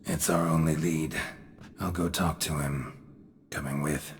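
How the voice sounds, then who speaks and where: An actor playing a gruff, laconic monster hunter in a video game, with a gravelly, hushed voice and deadpan, clipped delivery. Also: male voice, a bass voice, unhurried, slight background noise. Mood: firm, calm. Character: gruff, laconic male monster-hunting mercenary, world-weary and unflappable. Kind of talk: video game cutscene acting.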